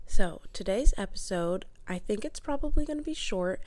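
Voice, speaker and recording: A woman's voice: mid-range voice, lively intonation, normal speed, darkish tone. A young adult woman hosting a solo podcast as a mindset coach. She has a cold and sounds stuffed up, and her delivery is upbeat and motivational. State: congested with a head cold.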